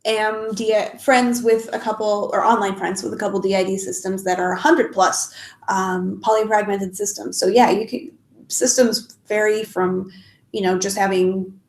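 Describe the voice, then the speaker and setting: A young woman talks on a live stream at her usual middle pitch, her nose blocked and sniffly. She pauses often with ums and restarts her sentences. Her voice carries a clear echo from the room around her. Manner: hesitant, many ums and restarts. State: stuffed up and sniffly. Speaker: YouTuber answering live chat. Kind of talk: casual live stream chat. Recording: echoey room.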